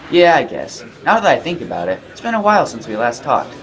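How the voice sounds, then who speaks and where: A friendly café worker, played by a man, in an amateur radio play. His pitch is middling but swings about in a very lively way as he asks wide-eyed, curious questions. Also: fast, poor audio. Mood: nostalgic.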